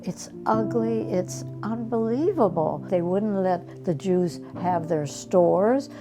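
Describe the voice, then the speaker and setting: A woman's voice: medium pitch, expressive, slow, music in the background. A woman in her late eighties reminiscing in conversation, plain-spoken and emphatic. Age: late 80s. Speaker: great-aunt sharing family testimony.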